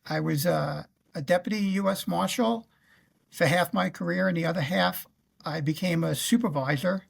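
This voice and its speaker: Gravelly New York man in his fifties. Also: high-pitched, normal speed. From Queens, New York. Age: 50s. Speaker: guest, retired federal law officer and navy veteran. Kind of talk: recounting career in an interview. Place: indoor room on a video call.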